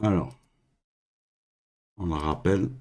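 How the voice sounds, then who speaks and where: Slow, low-voiced hesitant male host. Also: darkish tone. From Algeria. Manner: hesitant, halting, many fillers and restarts. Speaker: host of a live call-in stream. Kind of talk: live call-in talk.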